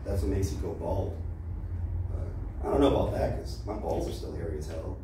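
A man's voice: deep voice, normal speed. A middle-aged man with an American accent performing stand-up comedy casually on a small club stage, peppering it with "you know.". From Los Angeles, USA. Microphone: handheld mic, heard through distant camera. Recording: echoey, noisy club room from far off.

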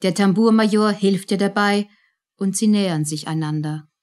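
A woman's voice: mid-range voice, normal speed, a little mellow. A woman narrating a German radio play in a scripted, storytelling style.

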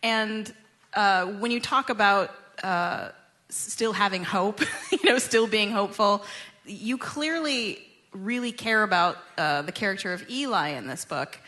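Bright-voiced woman hosting a radio interview. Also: mid-range voice, expressive, measured pace. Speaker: radio show host, interviewer. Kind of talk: conversational live radio interview.

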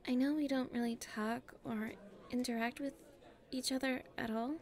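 A woman playing a nervous popular schoolgirl with a secret crush in an ASMR roleplay, softly breathy and halting. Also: high-pitched, steady tone. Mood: shy, earnest. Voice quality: soft and breathy, often near a whisper. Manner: hesitant, halting, shyly pleading.

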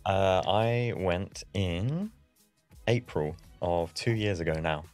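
Low-voiced man hosting a livestream. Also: slow pace, darkish tone, faint music behind. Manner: hesitant, many ums and uhs. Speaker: livestream host. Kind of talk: casual livestream chat. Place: home room at a desk. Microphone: studio mic on arm, video call.

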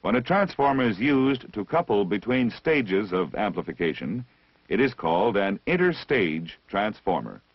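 A man reading scripted technical instruction as the narrator of a vintage military training film, measured and deliberate, on a dull old film soundtrack. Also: fairly low pitch, very animated, slightly rough, dry recording. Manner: measured, didactic, deliberate enunciation.

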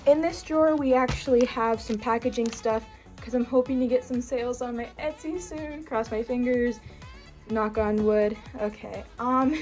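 A woman's voice: a little high, fairly even intonation, moderate pace, darkish tone, soft background music. A young woman casually narrating a tour of her toy horse stable while filming it on her phone, with frequent ums. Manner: chatty, with frequent ums and trailing off. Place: bedroom with a toy stable on a dresser. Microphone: phone camera held by the speaker, off screen.

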